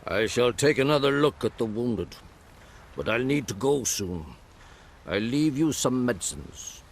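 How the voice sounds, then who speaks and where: An actor playing a kindly old druid healer in a video game, speaking in a formal, measured, avuncular way. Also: male voice, medium pitch, expressive, creaky, slight background noise. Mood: solemn, reassuring. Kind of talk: dramatic video game voice acting.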